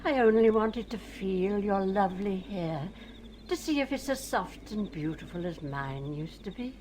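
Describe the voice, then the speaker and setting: Slow, eerie old woman played by an actress. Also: medium pitch, animated, mellow, a little hiss or hum, natural voice. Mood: crooning, wheedling. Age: older. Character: lonely eerie old woman taken for a witch. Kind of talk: radio drama acting.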